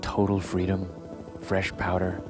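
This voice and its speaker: A man with a low, deep voice narrates a scripted documentary. He tells it like a story, at a measured delivery, with music clearly playing underneath. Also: completely flat delivery. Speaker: voice-over narrator.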